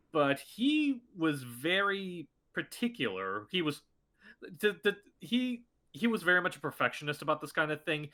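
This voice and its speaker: A man's voice: a little high, pushed and bright. A man who is a voice actor, chatting as a podcast guest and telling stories in a loose, rambling way with many fillers. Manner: rambling, filler-heavy storytelling. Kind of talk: casual podcast chat.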